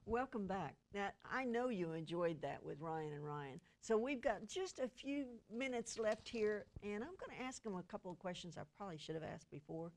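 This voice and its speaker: A woman's voice: medium pitch, expressive, moderate pace, hardly any pauses, music in the background. A woman hosting a TV show, interviewing guests in a conversational style. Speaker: TV show host.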